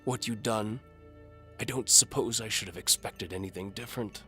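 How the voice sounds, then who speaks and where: A man's voice: on the low side, normal speed, bright, forceful tone, soft background music, pitched above the performer's own voice. A man voicing an old duke in an audio drama, blustering, pompous and indignant. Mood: wounded, indignant. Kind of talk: audio drama character acting.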